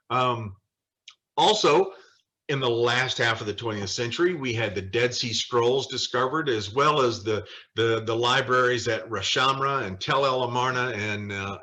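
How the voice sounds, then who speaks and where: A mature man with a bright, forward voice at a medium pitch leads a study group over a video call. He lectures informally, slipping into animated storytelling and acting out dialogue as he goes. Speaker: Bible study leader. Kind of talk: informal lecture with personal anecdotes.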